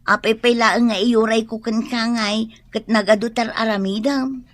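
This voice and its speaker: A woman's voice: fairly high pitch, fairly even intonation, moderate pace, lightly acted. A woman with an Ilocano accent playing a practical, industrious village wife in a radio drama. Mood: homely, practical.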